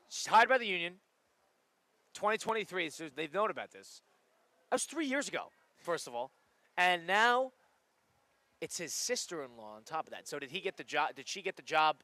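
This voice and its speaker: A young man in his twenties hosting a casual sports radio show, animated and rambling, quick to laugh. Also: a little high, dry recording. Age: 20s. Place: radio studio.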